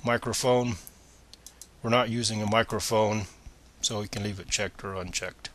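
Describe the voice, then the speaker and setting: A man's voice: fairly low pitch, fairly even intonation, moderate pace, a bit bright, slight background noise. A man presenting a step-by-step screencast tutorial in a plain, methodical, instructional manner.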